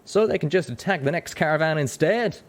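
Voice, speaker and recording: A man voicing a gruff, blunt, hard-bitten male pony gunslinger in a story reading. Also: fairly high pitch, brisk, voice pushed up, natural voice. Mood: gruff, defiant.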